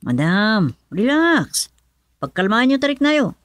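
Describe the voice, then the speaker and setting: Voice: mid-range voice, very animated, measured pace, a bit bright, dry recording. An actor playing a respectful aide in an Ilocano radio drama. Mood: reassuring.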